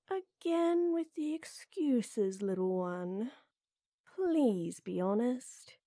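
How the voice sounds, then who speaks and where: Slow, mellow, flirty lady knight, acted by a woman. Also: mid-range voice, dry recording, studio quality. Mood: tender, coaxing. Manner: soft, teasing, coaxing, with breathy near-whispers. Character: tall, flirty, teasing, tender lady knight. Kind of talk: intimate roleplay audio drama.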